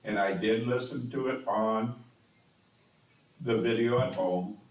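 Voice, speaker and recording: A man's voice: lowish voice, slow pace. A man serving as mayor with a strong, carrying voice, speaking insistently and repeating words as he defends himself. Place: city council chamber. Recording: echoey council chamber, distant mic.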